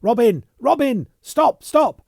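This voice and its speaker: A man voicing a sharp, impatient young male officer who snaps his lines. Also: a little high, sing-song, measured pace, slightly rough, no room sound, clean studio sound, voice pushed up, heavily acted. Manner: snapping, exasperated.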